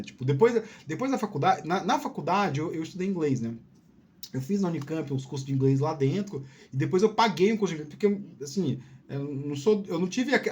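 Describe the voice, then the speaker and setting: Man, high-pitched voice, casual advice chat, informal, digressive, repeats words. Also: a little mellow, slight background noise. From Piauí, Brazil. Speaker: video host.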